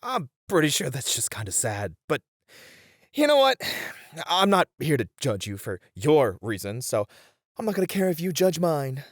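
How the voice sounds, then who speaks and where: A man playing a sensible, nice warrior in a fantasy audio roleplay, earnest and measured with mild exasperation. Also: on the high side, sing-song, no echo, clean studio sound. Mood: calm.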